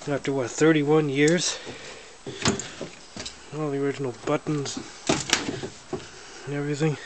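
A man casually showing off his snowmobile in a garage, talking in a relaxed, matter-of-fact way while filming with a handheld camera. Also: a little high, measured pace. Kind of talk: casual walkaround narration. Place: indoors, a garage or shed with windows. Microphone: handheld camera held by the speaker. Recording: noisy handheld camera audio.

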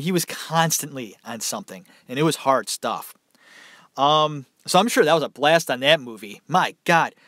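A man's voice: on the high side, fairly bright tone, dry recording. A man hosting a podcast, telling a story in a loose, rambling way with many fillers, from a small room at home, his voice dry and tiring. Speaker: podcast host. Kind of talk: casual solo storytelling.

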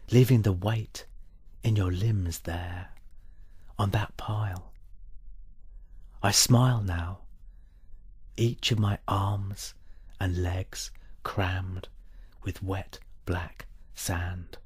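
A man reading poetry as a solemn dramatic monologue in a measured, deliberate way, with a breathy, husky voice that drops towards a whisper. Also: low-pitched, a little mellow, dry recording. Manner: measured, solemn, deliberate pauses between short phrases. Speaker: poet reading own work.

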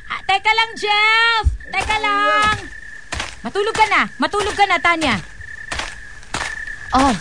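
A high-voiced, pleading Filipino mother. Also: slow pace, pauses often, heavy background noise. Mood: flustered, appeasing. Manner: pleading, flustered, stammering. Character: long-suffering, pleading mother and submissive wife Vivian. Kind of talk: Filipino radio drama acting.

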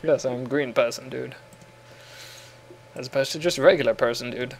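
A man's voice: medium pitch, moderate pace, creaky. Giggly English guy gaming. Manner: giggly, joking, rambling. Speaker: co-host playing a game. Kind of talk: casual gaming chat.

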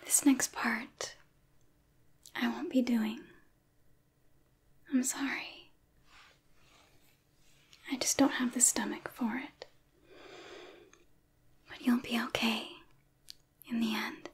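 A young woman playing a tender, devoted cult maid in an ASMR roleplay, speaking in a soothing breathy whisper. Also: fairly high pitch, steady tone, a little hiss or hum. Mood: gentle, reassuring. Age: 20s. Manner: slow, soothing, hushed. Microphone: close microphone.

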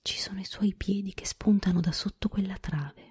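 A woman whispering as a gentle old good witch. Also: mid-range voice, normal speed, breathy edge.

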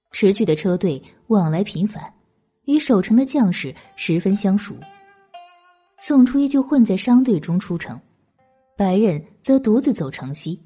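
Husky, expressive woman narrator. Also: mid-range voice. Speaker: audiobook narrator. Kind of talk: scripted narration of a historical novel. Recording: background music. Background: music.

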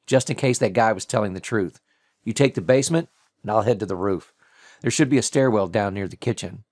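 A man's voice: lowish voice, brisk, a little mellow, no echo, natural voice. A man voicing a ruthless, wry male vigilante in an audiobook thriller, with sardonic, clipped reasoning. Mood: brisk, commanding.

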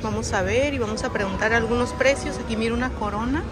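A woman's voice: a little high, fast talker. Lady vlogging on her phone at an outdoor swap meet, chatty, talks to viewers like friends, music playing. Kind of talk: casual walking tour commentary. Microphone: phone camera held while walking. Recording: outdoor market with crowd and music. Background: crowd chatter and music at the market.

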